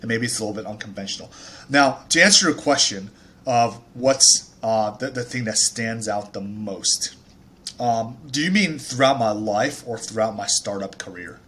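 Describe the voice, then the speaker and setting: A man's voice: fairly low pitch, moderate pace, fairly bright tone. A man in his thirties from Taiwan, a startup founder telling his life story in a casual interview, chatty with many fillers and restarts.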